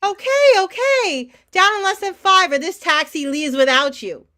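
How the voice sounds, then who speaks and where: A woman's voice: very high-pitched, lively intonation, normal speed, bright, forceful tone, exaggerated voice. A woman playing an anxious, coaxing mother in a staged reading of a drama, fussing and placating. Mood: gently nagging. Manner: pleading, fussing.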